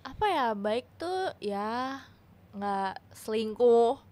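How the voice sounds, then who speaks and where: Agreeable young woman guest, higher voice. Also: lively intonation, very slow, dark tone, slight background noise. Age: 20s. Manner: agreeable, repeats "benar" often. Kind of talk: casual podcast chat.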